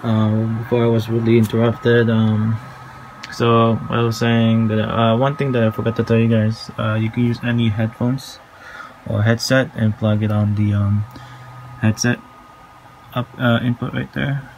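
A man giving a casual hands-on tutorial in a halting, informal way, recorded on a handheld phone at home. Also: medium pitch, little pitch movement, a little mellow, slight background noise, low-quality recording. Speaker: tutorial presenter. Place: small room at home, indoors.

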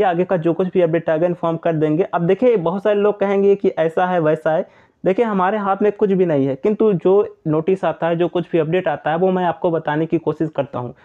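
A man giving an informal, repetitive, reassuring explainer to viewers as an exam news presenter. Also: high-pitched. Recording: tinny, phone-like narrow band.

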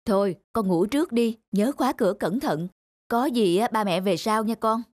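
A woman voicing a gentle, caring young mother in a cartoon, with a soothing, reassuring manner. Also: medium pitch, moderate pace, dry recording, close to an everyday voice. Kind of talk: cartoon voice acting.

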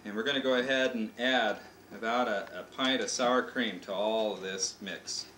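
A man's voice: lowish voice, moderate pace, bright, forceful tone. An American man in his thirties playfully presents a casual cooking demonstration, explaining it step by step as a father hosting a home cooking show. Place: home kitchen, indoors. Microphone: camcorder mic a few feet away. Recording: noisy, echoey home camcorder audio.

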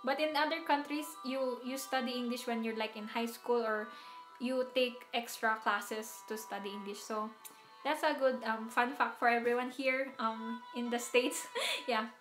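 A young woman with a Filipino accent chats with her stream viewers from a small room, her voice sitting a little high. She laughs often and repeats words as she searches for them. The room adds a roomy echo, and faint music plays behind her. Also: steady tone, moderate pace, a bit bright. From Philippines. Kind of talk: casual chat to live viewers.

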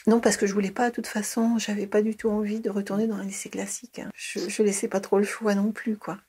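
Hesitant middle-aged woman, mellow husky voice. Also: medium pitch, little pitch movement, fast talker. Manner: hesitant, many fillers and restarts. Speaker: interviewee, early childhood educator. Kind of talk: casual recorded interview.

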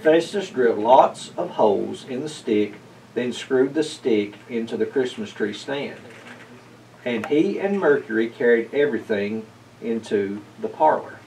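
Middle-aged man, storybook narration, medium pitch, a little far from mic, faint background noise. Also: animated, a little slow, darkish tone. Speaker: storybook reader. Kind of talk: read-aloud children's story narration. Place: indoors, library with bookshelves. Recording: slightly distant mic, faint room noise.

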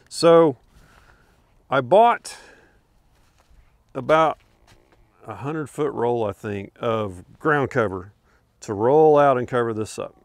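An older man wanders through his backyard garden, talking from behind a handheld camera. His voice is gravelly and sits at an ordinary pitch, and it comes across dry and close despite the open air. He rambles easily, with plenty of fillers and quiet chuckles. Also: no echo. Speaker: homesteader showing his garden. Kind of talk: casual garden walkthrough. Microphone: handheld camera, speaking from behind it.

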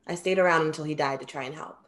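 Composed, low-voiced young muse played by a woman. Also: racing, fairly bright tone, slight background noise. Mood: gentle, frank. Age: young adult. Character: composed, perceptive woman muse, formal and frank. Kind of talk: virtual staged play reading. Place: small room at home, video call. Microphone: video call.

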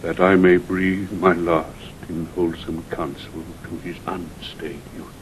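A man's voice: flat delivery, a little slow, rough recording. An actor playing a dying old nobleman, solemn and prophetic, declaiming weighty Shakespearean verse in an audio drama. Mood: weary, solemn. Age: older. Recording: vintage 1960 recording with faint rumble.

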